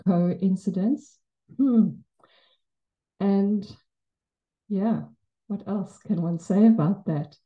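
A woman's voice: medium pitch, extremely slow, long pauses, dull audio. Afrikaans-accented woman giving a memorial tribute. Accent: Afrikaans South African. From South Africa. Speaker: memorial speaker. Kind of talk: personal tribute speech. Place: Buddhist center shrine room, indoors. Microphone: handheld mic, far camera.

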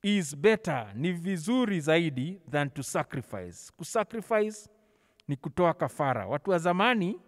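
A man's voice: a little high, very animated, moderate pace. A middle-aged Kenyan man teaching a children's church class, telling a Bible story theatrically, acting out dialogue and slipping casually between languages. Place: large church hall, on stage. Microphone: handheld microphone, held close over face mask. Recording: long hall reverb.

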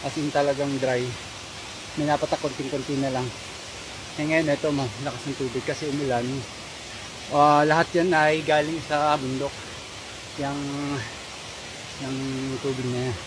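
Chatty hiking vlogger from the Philippines, a man in his thirties, talking to viewers on a handheld phone by a rushing waterfall. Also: mid-range voice, steady tone. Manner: chatty, addresses viewers as guys. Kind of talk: casual hiking vlog. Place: outdoors by a forest waterfall. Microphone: phone held at arm's length. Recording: hissy outdoor phone audio over waterfall.

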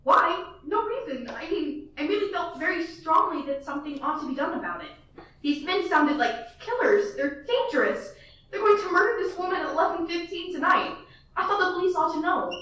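A fretful, demanding rich woman, played by a young woman in a school radio drama, nagging the phone exchange in a high, indignant voice. She sounds roomy and distant, caught from across a room with a dull, muffled tone. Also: expressive, a little fast, a bit bright. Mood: insistent. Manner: indignant, fussy and insistent. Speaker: student actor. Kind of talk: school radio play acting.

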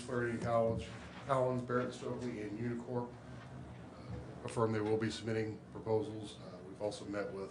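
An American man giving a halting spoken report to a council in a meeting room. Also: lowish voice. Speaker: staff member reporting to a council. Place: indoor public meeting room. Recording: echoey, noisy meeting room audio.

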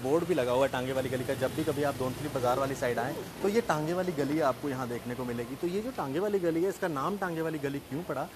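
A man in his thirties hosting a walking street report in an old bazaar, earnest and full of fillers. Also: on the high side. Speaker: reporter host. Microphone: handheld mic. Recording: noisy outdoor street.

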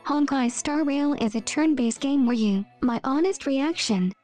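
A woman's voice: sits high, a little slow, mellow. A woman doing a scripted meme voice-over, reading out captions and short lines. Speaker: meme video narrator. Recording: music under the voice. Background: background music.

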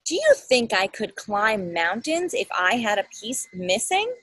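A woman's voice: a little high, wildly swooping pitch, measured pace, fairly bright tone, breathy edge, no echo, exaggerated voice. A woman voicing big, daring storybook creatures who answer with emphatic disbelief. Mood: incredulous. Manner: incredulous, emphatic.

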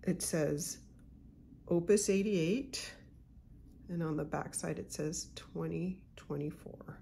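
A woman hosting her own channel, congested from a cold, chatting casually and unhurriedly with soft asides while she unboxes a pen at her desk at home. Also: sits low, slow, gravelly, a little hiss or hum. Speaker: YouTube channel host.

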